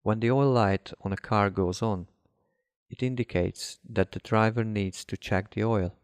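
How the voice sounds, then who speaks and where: A man reading a guided hypnosis relaxation script as a hypnotherapist, in a measured, instructive way with deliberate pauses. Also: on the low side, clean tone, no room sound, clean studio sound. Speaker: hypnotherapist guiding a session.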